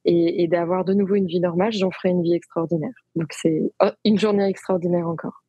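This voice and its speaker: A woman's voice: fairly low pitch, fairly even intonation, brisk, dark tone, breathy edge, dry recording, dull audio. A woman from France with a French accent, telling her story as an interview guest with many fillers and restarts. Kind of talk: conversational interview.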